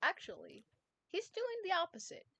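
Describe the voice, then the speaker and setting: A serene, ancient ghost who masters time speaks gently and knowingly, as a woman puts him on. Every line is measured and unhurried, in the manner of a calm storybook reading. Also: very high voice, vocal fry, no echo, pitched above the performer's own voice, exaggerated voice.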